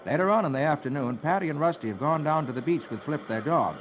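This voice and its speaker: Animated vintage radio storyteller, man. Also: on the high side, fast. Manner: theatrical storytelling. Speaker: host reading comics aloud. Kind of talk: 1950s radio dramatic narration. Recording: muffled old radio recording, music behind. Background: music.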